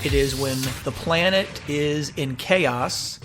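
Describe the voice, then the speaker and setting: A man's voice: on the high side, slow pace, fairly bright tone. A man hosting a radio show, introducing it in a casual, chatty way. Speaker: host. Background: music.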